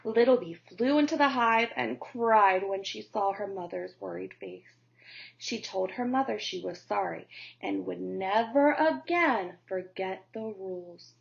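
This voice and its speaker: A woman teacher reading a children's picture book aloud in a slow, gentle storytelling manner. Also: mid-range voice, vocal fry. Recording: dull lo-fi recording.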